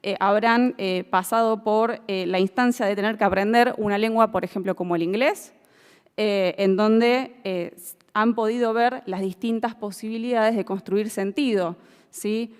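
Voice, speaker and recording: A woman's voice: medium pitch, fairly even intonation, normal speed, clean tone, long reverb tail. A woman gives a formal explanatory presentation, lecturing with many "eh" hesitations and checking in with the audience. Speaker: expert speaker presenting a bill.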